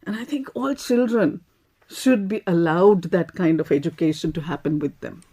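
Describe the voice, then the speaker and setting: Female activist panelist, Indian accent, soft dark timbre, emphatic and persuasive. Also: lowish voice, moderate pace, husky. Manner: emphatic, rhetorical questions. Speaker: panelist, animal rights activist. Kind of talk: opinionated panel discussion.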